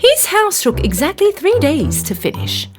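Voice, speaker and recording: A woman narrating a scripted children's story in an expressive, deliberate storytelling manner. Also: very high voice. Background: music.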